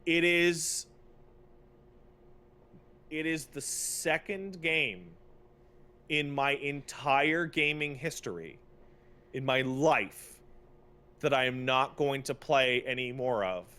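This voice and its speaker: A man's voice: mid-range voice, slow pace, pauses often, bright, forceful tone, clear, resonant tone. A man co-hosting a podcast, ranting casually and comedically about games, exasperated and emphatic, stretching words for effect. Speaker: podcast co-host and game streamer.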